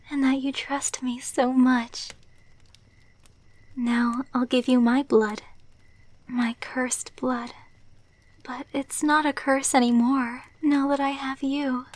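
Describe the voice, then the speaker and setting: A woman voicing a tender, devoted vampire girlfriend in an ASMR roleplay, speaking softly and intimately, often near a whisper, close to the mic. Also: high-pitched, no room sound. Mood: blissful, caring. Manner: soft, intimate, breathy delivery, often dropping to whispers. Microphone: close microphone, dry.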